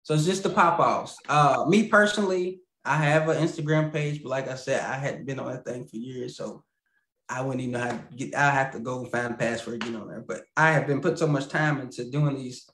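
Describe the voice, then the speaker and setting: A man in his thirties chatting as a podcast guest over a video call, rambling and laughing, often trailing off quietly. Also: mid-range voice, a little fast, echoey room, a little hiss or hum. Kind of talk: casual interview chat over video call. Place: indoor home room with lamp. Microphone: video call, webcam.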